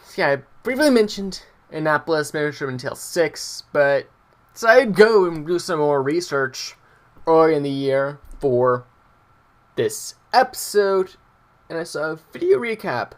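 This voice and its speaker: Young male vlogger, slightly high voice, casual rambling storyteller with halting, meandering delivery. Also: animated. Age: young adult. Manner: hesitant, meandering, breaks off mid-sentence.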